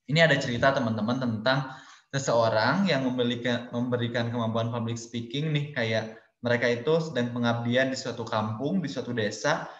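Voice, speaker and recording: A man speaking at an ordinary middle pitch walks his listeners through a lesson point by point in an easygoing, friendly way. His voice carries a noticeable room echo. The sound is muffled and lo-fi, typical of an online webinar call. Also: fairly bright tone. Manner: explains step by step in numbered points; casual, addresses listeners as friends. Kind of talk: webinar lecture. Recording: roomy, dull lo-fi webinar audio.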